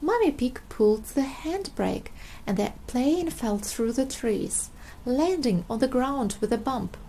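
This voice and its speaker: A high-voiced woman reads a children's storybook aloud at an unhurried pace. Her delivery is expressive and swings widely in pitch, with faint noise behind it. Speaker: storybook reader.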